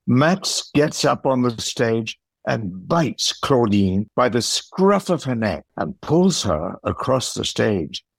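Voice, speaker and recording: A man narrating an audio play as a scripted storyteller, in a measured manner. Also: medium pitch, big pitch swings, vocal fry, dry recording.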